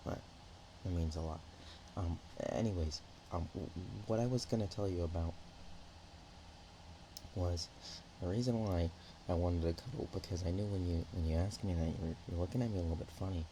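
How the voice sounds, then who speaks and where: A man voicing a shy, playful young dragon-dog girl in an ASMR roleplay, speaking softly and haltingly with naive affection. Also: low voice, a little hiss or hum, natural voice, low-quality recording. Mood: bashful, naive. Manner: hesitant, halting, giggly, soft-spoken. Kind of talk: ASMR roleplay, one-sided intimate chat.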